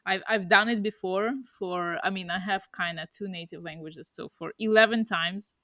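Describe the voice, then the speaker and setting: Podcast co-host, woman in her 30s, Russian native, thoughtful and halting, dead-dry mic. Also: lowish voice, fairly even intonation, pushed and bright, no echo. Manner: reflective, many fillers and restarts. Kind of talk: casual chat.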